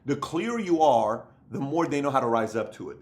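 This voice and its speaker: A man's voice: medium pitch, animated, fairly quick. A man in his thirties giving an emphatic, repetitive motivational business talk to camera, seated in a room with bookshelves. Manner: emphatic, repetitive, acts out other people's voices. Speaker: business coach.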